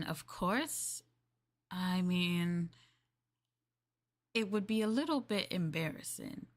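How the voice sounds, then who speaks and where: A woman's voice: mid-range voice, lightly acted. Woman playing a bashful, eager young maid. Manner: soft, polite, hesitant, apologetic, eager to please. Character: eager, bashful, polite young female maid serving her master. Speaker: VTuber streamer playing a maid for live chat. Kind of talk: live roleplay ASMR, improvised chat.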